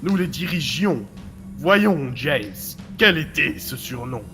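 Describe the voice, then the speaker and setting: A man plays a mocking, sinister director in an audio drama, a shadowy other self. He taunts with deliberate, theatrical phrasing over light background music. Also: a little high, animated, pushed and bright, heavily acted. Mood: taunting, accusing. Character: mocking, menacing male director, hero's forgotten other self.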